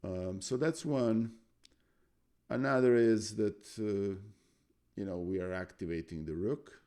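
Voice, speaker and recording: Deep gravelly man thinking aloud. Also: unhurried, mellow. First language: Serbian. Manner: thinking aloud, hesitant with fillers. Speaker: streamer learning chess. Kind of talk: casual chess analysis on stream.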